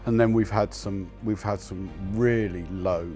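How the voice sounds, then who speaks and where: Man, team manager, upbeat, fairly low voice. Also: fairly even intonation, measured pace, a little mellow, soft background music. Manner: upbeat, enthusiastic about the team. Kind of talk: documentary interview.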